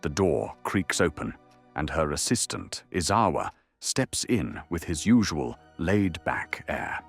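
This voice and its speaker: A man's voice: low-pitched, very animated, slow, vocal fry, soft background music. A man narrating an audio drama in a dramatic, scripted storytelling style.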